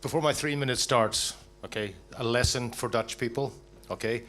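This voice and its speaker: Punchy Scottish man pitching live. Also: normal speed, pushed and bright, slight background noise. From Scotland. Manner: jokey, punchy, asks and answers own questions. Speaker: startup presenter pitching. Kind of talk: live startup pitch to an audience.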